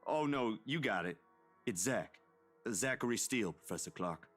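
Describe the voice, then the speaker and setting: Polite young man, low voice, lively intonation, respectful, earnest, game dialogue, music underneath. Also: normal speed. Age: young adult. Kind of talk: video game voice acting.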